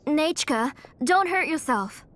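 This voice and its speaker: A woman's voice: sits high, expressive, slow, a little hiss or hum. A woman voicing a fretful, protective middle-aged mother in a video game. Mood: alarmed, scolding. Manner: fussing, earnest. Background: music.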